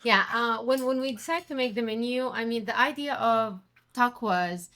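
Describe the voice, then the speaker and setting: A middle-aged woman from Jordan, a restaurant owner and chef, speaking English as an in an animated, conversational interview. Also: a little high, normal speed. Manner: animated, quotes others with raised voice.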